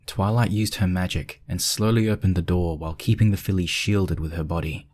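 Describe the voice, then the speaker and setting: Male narrator, low register, reading prose fiction from a script. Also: steady tone, brisk, darkish tone.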